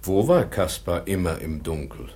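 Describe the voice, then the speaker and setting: An actor playing a gentle, protective schoolmaster in a radio drama, soothing and deferential. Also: male voice, sits low, sing-song, moderate pace, slightly rough, exaggerated voice. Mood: patient, soothing. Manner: soothing simple phrases, deferential to superiors. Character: gentle, patient schoolmaster professor, protective guardian. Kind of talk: German radio drama acting.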